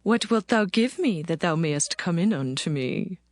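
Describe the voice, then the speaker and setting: A woman playing a shrewd, self-possessed young Hebrew widow in a scripture drama, deliberate and archaic, recorded with music behind. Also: lowish voice, animated, no room sound, studio quality. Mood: shrewd bargaining.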